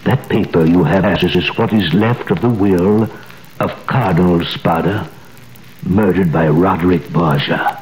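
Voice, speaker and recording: An actor playing an old dying prisoner in a 1930s radio drama, speaking slowly and earnestly. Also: male voice, sits low, darkish tone, low-quality recording. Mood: solemn, urgent. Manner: slow, solemn and earnest. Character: old dying male prisoner, gentle and fervent. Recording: old radio recording with faint hiss.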